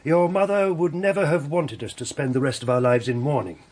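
An actor playing a mild, courteous older widowed father in a radio drama, speaking in a measured, gently formal way. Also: fairly high pitch, steady tone, slightly rough. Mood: warm, hesitant. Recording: faint background noise.

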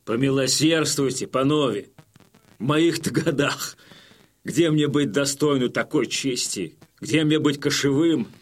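An older actor playing a modest but crafty elderly Cossack chieftain, speaking humbly and deliberately in an audio drama. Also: male voice, medium pitch, natural voice. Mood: humble. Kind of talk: Russian audio drama acting.

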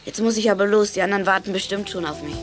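A woman's voice: mid-range voice, rapid-fire, over loud music, natural voice. A woman playing an eager, conscientious schoolboy radio amateur in a German children's radio play. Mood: cheerful, concerned.

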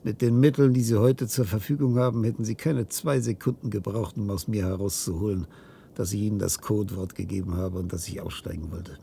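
An actor playing a weary, guilt-ridden old inventor in a science-fiction radio drama, halting and theatrical. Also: male voice, lowish voice, fairly even intonation, vocal fry, slight background noise. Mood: despairing, resigned. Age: older. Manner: halting, theatrical delivery with hushed passages.

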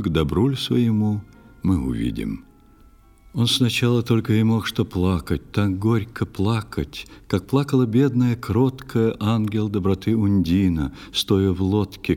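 A man solemnly reciting a poetic tale as narrator of an audio play, measured and expressive. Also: sits low. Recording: long hall reverb, music behind.

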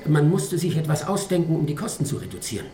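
A man's voice: on the high side, very animated, quick pace, dark tone, roomy sound, slight background noise. An actor in a German radio drama playing a talkative, wistful old male robot, a courteous, rambling ship's officer. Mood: explaining, courteous.

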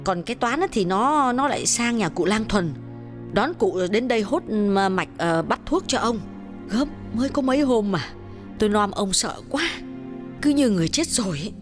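A sharp-tongued middle-aged wife, as a male storyteller puts her on, pitched well above his own voice, who nags and scolds in quick, impatient runs. Faint music sits under the audiobook reading. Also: animated. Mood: fretful. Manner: scolding, rapid, exasperated nagging.

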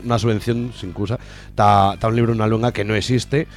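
A man with an Aragonese accent giving informal, sardonic political commentary as a radio contributor, halting often with repeated filler words. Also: on the low side, steady tone.

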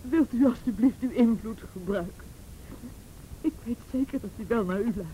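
A woman plays a distraught mother in a radio drama, pleading in a very high, soft-toned voice. She is tearful and halting, on a recording with some background noise. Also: lightly acted. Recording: noisy old radio play recording.

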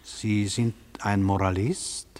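An actor in a radio drama playing a sceptical, worldly interrogator, measured, matter-of-fact and dismissive. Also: male voice, lowish voice, steady tone, a little mellow. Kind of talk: German radio drama acting. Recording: long hall reverb, slightly husky sound.

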